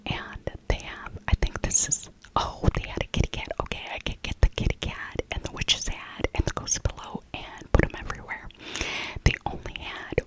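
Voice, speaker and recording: A woman speaking in a breathy, unvoiced whisper, gently rambling through a casual ASMR voiceover and often repeating her phrases. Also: normal speed, poor audio. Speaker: ASMR creator narrating a shopping trip.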